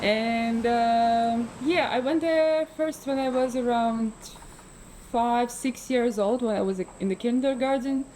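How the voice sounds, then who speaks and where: A woman talks slowly and thoughtfully, pausing often on fillers. Her voice is mellow and soft, and she laughs lightly as she goes. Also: fairly high pitch, a little hiss or hum, low-quality recording. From Ukraine. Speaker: freediver guest. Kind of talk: relaxed interview conversation.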